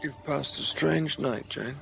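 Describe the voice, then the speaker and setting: An actor playing a commanding, brooding gentleman with a dark secret in a period radio drama, speaking tersely. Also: medium pitch, a little slow, gravelly, a little hiss or hum, low-quality recording. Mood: brooding, intimate.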